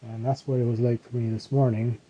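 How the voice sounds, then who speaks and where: A man hosting his own podcast in a casual, rambling solo chat, his voice a little rough from a post-nasal drip. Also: medium pitch, little pitch movement, a little fast, mellow, muffled recording. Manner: rambling, self-correcting, chuckles now and then. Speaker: podcast host.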